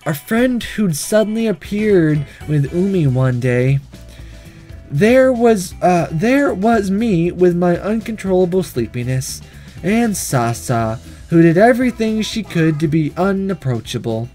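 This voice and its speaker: A sleepy, matter-of-fact schoolgirl tells her story in a visual novel, read by a man. The voice sits high for a man and moves up and down a lot, yet stays weary and blunt. Faint music plays behind it. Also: sing-song, a little slow, mellow. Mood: matter-of-fact, musing. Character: sleepy, detached schoolgirl narrating about her friends.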